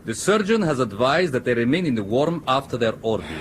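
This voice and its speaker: A man's voice: mid-range voice, sing-song, a little fast, a little hiss or hum, close to an everyday voice. A man acting a formal, stonewalling Soviet ship's captain in a radio drama, with curt, precise speech. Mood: curt, firm.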